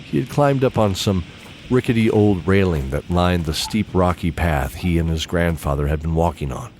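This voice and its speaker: Male narrator, deep voice, scripted fantasy storytelling over background ambience. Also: moderate pace, a little mellow. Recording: clear background ambience noise.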